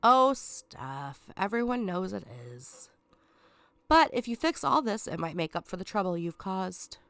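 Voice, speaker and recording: A woman's voice: medium pitch, lively intonation, measured pace, a bit bright, clean tone, no room sound. A woman reading a scheming, condescending lion villain. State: stuffed up from a cold. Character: scheming, sarcastic male lion villain, self-proclaimed king.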